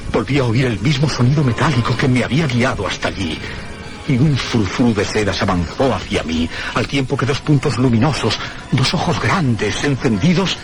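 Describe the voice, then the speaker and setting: A man playing a solemn, haunted narrator in a radio horror drama, with suspenseful, deliberate dramatic phrasing. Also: medium pitch, animated, over music, close to an everyday voice. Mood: tense, dread. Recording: noisy radio broadcast recording.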